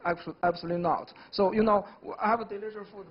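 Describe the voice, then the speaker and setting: High-voiced man explaining in halting English. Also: steady tone, slightly rough, muffled recording. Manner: halting, homely food analogies, many fillers. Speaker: professor, panel guest. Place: TV studio.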